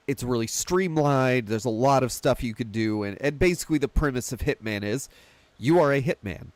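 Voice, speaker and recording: A man's voice: mid-range voice, dry recording. A man hosting a casual, conversational discussion about films in an informal way. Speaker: podcast host. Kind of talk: casual film discussion chat.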